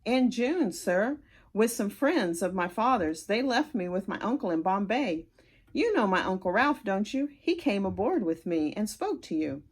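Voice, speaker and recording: A woman's voice: mid-range voice, sing-song, normal speed, husky. A woman voicing a polite, proud and eager American boy in a storybook reading. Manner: respectful, earnest.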